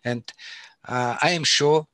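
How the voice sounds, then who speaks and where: Male interview host; slow, halting delivery, searching for words; dry recording. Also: a little high, pushed and bright, no echo. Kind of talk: casual interview hosting.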